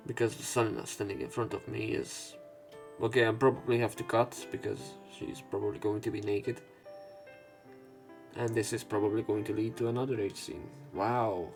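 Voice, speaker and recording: A man's voice: fairly low pitch, moderate pace, creaky, pauses often. A man reading visual novel text aloud as a let's play narrator, with casual asides. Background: visual novel game music and sound effects.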